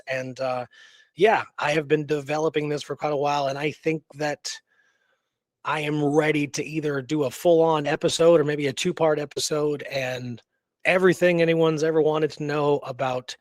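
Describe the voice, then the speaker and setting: Man, slightly high voice, history show co-host, unscripted, halting delivery, repeats for emphasis, very dry sound. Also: no room sound.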